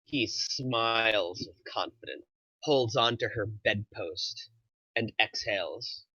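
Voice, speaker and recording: A man's voice: on the low side, slow pace, pushed and bright, no room sound, dull audio. A man narrating, reading screenplay action lines aloud at a table read.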